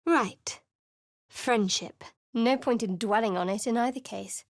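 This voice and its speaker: A woman's voice: a little high, a little slow, no echo. A woman voicing a guarded, sardonic young female cleric in a video game, with a dry, wry and secretive way of speaking. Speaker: game character voice.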